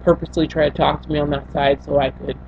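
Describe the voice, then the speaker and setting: A woman's voice: low-pitched, little pitch movement. Young woman telling her story on webcam. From Senegal. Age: young adult. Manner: halting, with many fillers and restarts. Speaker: vlogger. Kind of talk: personal storytelling to camera. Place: small bedroom indoors. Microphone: built-in webcam mic. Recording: dull lo-fi webcam audio with faint hum.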